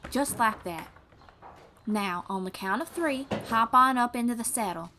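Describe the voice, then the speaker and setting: A woman's voice: fairly high pitch, unhurried, a little hiss or hum. A woman playing a kind, patient young bard in an audio roleplay, teaching the listener in an encouraging, folksy way. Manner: encouraging, gently instructive, folksy.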